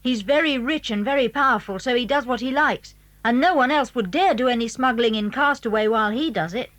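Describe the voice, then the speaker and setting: A woman's voice: a little high, fairly quick, a bit bright, close to an everyday voice. A woman playing a clever, secretive British schoolboy in a children's audio drama, eager and well-spoken as he explains things. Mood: confiding. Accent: British English. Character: clever, secretive schoolboy showing friends his house.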